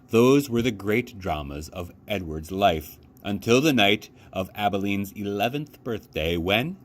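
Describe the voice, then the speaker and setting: A man with a fairly low voice narrates a children's book. His delivery swings widely and expressively in pitch, with faint noise in the background. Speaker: library children's storyteller. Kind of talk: expressive read-aloud narration.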